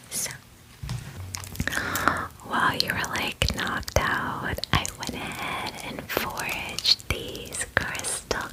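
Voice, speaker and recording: A woman voicing a chatty, clingy female dragon in a breathy ASMR whisper, gushing casually like a best friend in a fantasy roleplay. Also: poor audio. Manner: gushing valley-girl chattiness, filler-heavy, casual.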